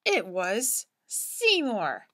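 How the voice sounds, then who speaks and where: A woman reading a children's picture book aloud in an expressive, dramatic storytelling manner, like a preschool teacher. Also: medium pitch, drawn-out words.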